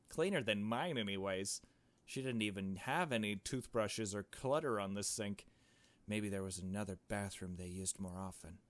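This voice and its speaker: A curious, earnest small girl from a visual novel game, read by a man in a fairly low voice. She is persistent with her questions, and the sound is close and dry. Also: no echo, close to an everyday voice.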